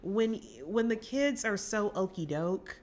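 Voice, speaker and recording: A woman's voice: medium pitch, lively intonation, unhurried, a little mellow. A woman co-hosting a film podcast, giving opinionated, emphatic takes in casual conversation.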